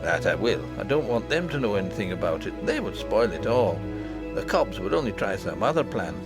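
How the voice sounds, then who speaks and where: A man's voice: lowish voice, sing-song, fairly quick, over loud music. A man voicing a determined young miner boy in a storybook, eager and confident. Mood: eager, resolute.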